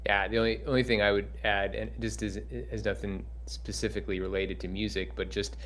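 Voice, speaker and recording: A man's voice: fairly low pitch, flat delivery, fairly quick, a bit bright, slight background noise. A man, a film director and animator, giving earnest, encouraging, rambling answers on a Q&A panel.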